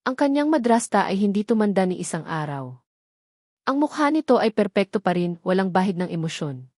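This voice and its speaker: A woman's voice: medium pitch, big pitch swings, brisk, a little mellow, no echo, clean studio sound. A woman reading dramatic scripted narration of a love story as a storyteller.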